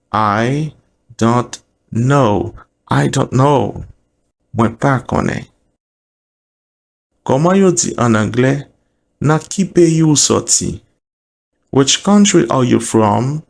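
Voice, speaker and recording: A man with a Haitian Creole accent teaching phrases, repeating each one slowly and word by word. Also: medium pitch, gravelly. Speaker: language teacher. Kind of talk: slow drilled phrase lesson.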